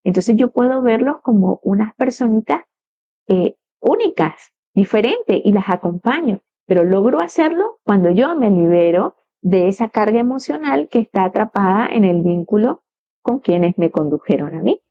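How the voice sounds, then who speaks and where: A middle-aged woman co-hosting a reflective conversation, speaking calmly and thoughtfully. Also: mid-range voice, moderate pace. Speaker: podcast co-host. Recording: dry, slightly dull audio.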